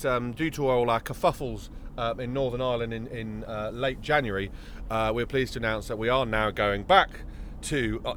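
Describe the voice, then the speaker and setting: A man's voice: medium pitch, fairly bright tone, a little hiss or hum. A British man, a touring actor, chatting casually while on the road, full of ums and restarts. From Britain. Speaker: touring actor and podcast co-host. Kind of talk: casual chat recorded on the road. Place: moving van on tour.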